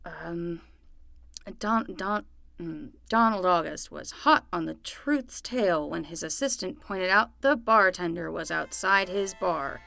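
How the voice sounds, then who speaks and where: A woman's voice: fairly low pitch, takes it slowly, vocal fry, pushed and bright, no echo, exaggerated voice. A woman playing an earnest, exasperated young summer nanny and reluctant detective's assistant in a scripted fiction podcast, talking in a conversational way. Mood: flustered, alarmed.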